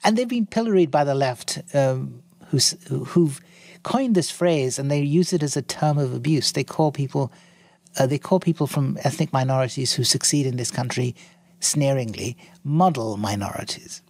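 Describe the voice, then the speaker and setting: A man in his sixties from India, a guest in an interview, speaking in an articulate, measured way with frequent ums and restarts. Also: medium pitch, gravelly, a little mellow, no echo. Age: 60s. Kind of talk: interview conversation.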